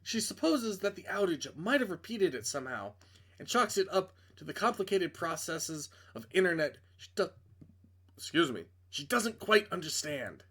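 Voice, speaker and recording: A man's voice: high-pitched, big pitch swings, normal speed, fairly bright tone, breathy edge. A man reading a visual novel aloud as a streamer, narrating dramatically and breaking off into casual, joking asides to correct himself.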